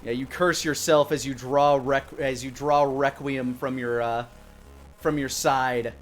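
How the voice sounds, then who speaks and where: A man's voice: mid-range voice, fairly even intonation, a little slow. A man in his thirties acting as dungeon master, narrating a tabletop game with dramatic, descriptive storytelling into a close mic. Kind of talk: improvised game narration for a tabletop role-playing stream. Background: music.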